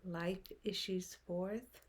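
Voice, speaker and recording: A woman leading a guided meditation in a gentle, unhurried manner, speaking in short phrases with long pauses. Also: medium pitch, fairly even intonation, husky.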